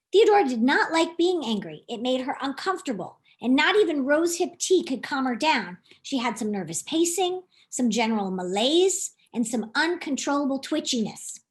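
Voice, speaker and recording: A woman reads a children's story aloud as its author. Her voice is high, with wide, lively swings in pitch. She is expressive and turns to the young listeners with questions as she goes. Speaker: author reading her own book. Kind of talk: animated children's storytime narration.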